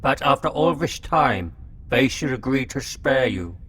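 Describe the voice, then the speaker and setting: A man's voice: animated, measured pace, fairly bright tone. Talking locust messenger, voiced by a man, noisy recording. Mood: reporting gravely. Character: talking locust, gossipy messenger relaying family quarrels. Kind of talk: radio drama acting. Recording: clear background noise with low rumble.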